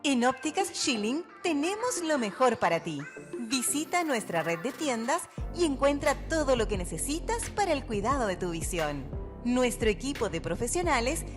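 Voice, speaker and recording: High, lively woman announcing a commercial. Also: normal speed. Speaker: advertisement announcer. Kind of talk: scripted commercial voice-over. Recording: music bed under voice.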